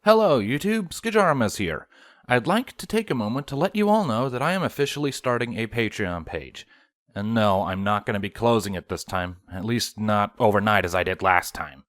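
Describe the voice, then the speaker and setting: A man's voice: lowish voice, animated, moderate pace, a bit bright, dry recording, studio quality. A man speaking as a channel host, making an announcement to his viewers.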